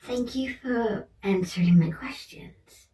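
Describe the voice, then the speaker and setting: A woman playing a gentle, curious alien researcher in an ASMR roleplay, speaking softly and soothingly and often slipping into a whisper. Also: on the high side, takes it slowly. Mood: gently curious. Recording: roomy echo, distant mic, faint noise.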